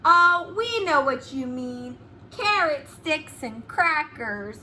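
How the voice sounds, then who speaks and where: A woman's voice: high voice, big pitch swings, slow, bright, forceful tone, a little hiss or hum, full character voice. A woman voicing amused young schoolchildren in a storybook, playfully and emphatically correcting their silly teacher.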